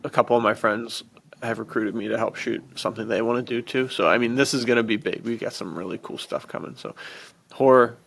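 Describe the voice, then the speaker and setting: A man's voice: mid-range voice, fairly even intonation, brisk, vocal fry, a bit bright. A man co-hosting a podcast, chatting casually and enthusiastically in slangy, informal wording. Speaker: podcast co-host.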